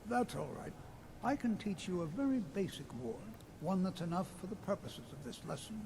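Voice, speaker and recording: A kindly old wizard teacher in a fantasy game, high-pitched and creaky. He lectures with patient caution and lively swings of tone, over noticeable background noise. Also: normal speed. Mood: instructive. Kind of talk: fantasy video game acting.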